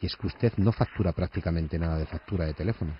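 Man playing a curt, fast-talking phone company manager. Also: low-pitched, slightly rough, dry recording, muffled recording, voice pushed down. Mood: stern, measured. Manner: condescending, confrontational, formal address. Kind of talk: prank phone call in character.

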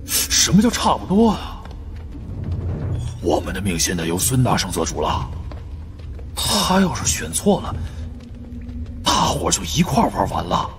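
A man's voice: fairly low pitch, huge pitch swings, measured pace, long pauses, soft background music. A man voicing a doubtful, grumbling male teammate in an audiobook, muttering in disbelief. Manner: incredulous, muttering. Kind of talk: audiobook character acting.

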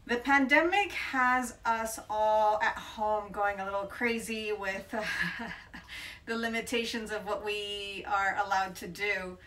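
A woman's voice: mid-range voice, steady tone, slow, bright, forceful tone, recorded from a distance, low-quality recording. A woman in her forties from Colombia, answering interview questions thoughtfully with frequent hesitations. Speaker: interviewed photographer. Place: bright room by a window, indoors.

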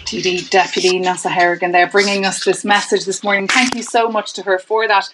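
A woman's voice: mid-range voice, level tone, fairly quick. A woman, presenting links between segments of an online event from an office. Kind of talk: live event hosting. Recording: noisy with clicks.

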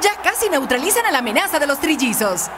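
An actor playing an eager, dramatic football commentator in a cartoon. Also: male voice, very high-pitched, big pitch swings, fast talker. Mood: intrigued. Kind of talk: animated cartoon sports commentary.

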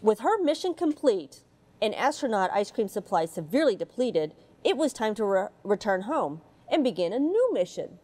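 Woman, middle-aged, reading to kids, chatty asides, warm voice, lively pitch. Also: normal speed. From United States. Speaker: astronaut reading a picture book. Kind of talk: storybook read-aloud for children. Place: space station module, indoors.

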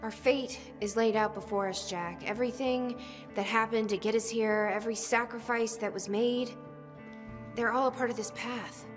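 An actress playing a grave, determined woman who believes in fate, speaking earnestly and deliberately in a cinematic video game. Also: fairly high pitch, fairly even intonation, faint music behind, lightly acted. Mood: solemn, resolute.

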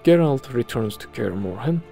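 A male presenter reads scripted game review narration. His pitch moves in a lively way and has a clear creak to it, with soft music underneath. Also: moderate pace. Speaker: video presenter. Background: background music.

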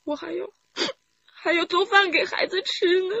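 A woman's voice: very high voice, moderate pace, sharp tone, no room sound, highs cut off. A woman playing a simple, distraught wronged wife in a radio drama, plaintive and emotional. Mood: timid pleading. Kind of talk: Chinese radio drama acting.

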